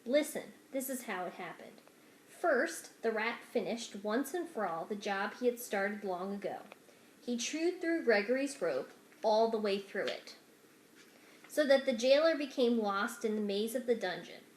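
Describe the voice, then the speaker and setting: Higher-voiced woman reading aloud, echoey room. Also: animated, unhurried, slight background noise. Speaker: story reader. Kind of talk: expressive read-aloud narration for children. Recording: roomy indoor echo.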